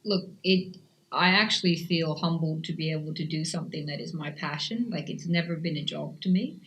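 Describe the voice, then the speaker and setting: Woman coach in her fifties, from Canada, accent part Canadian part Australian, lowish voice, earnest and enthusiastic. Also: fairly quick, a bit bright, highs cut off. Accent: mix of Canadian and Australian. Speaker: cycling coach. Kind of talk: interview answers.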